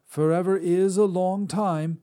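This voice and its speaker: A man's voice: high voice, fairly even intonation, a little slow, mellow, voice pushed up. A man putting on a wry old witch woman. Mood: wry, mocking. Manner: mocking, lilting. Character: old weary female witch, wry and sharp-tongued.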